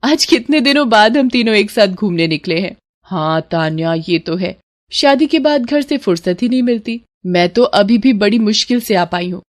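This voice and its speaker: A woman acts out a young married woman chatting with close friends in a narrated story. She is affectionate and nostalgic, speaking at a medium pitch. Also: animated, moderate pace, lightly acted. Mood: chatty.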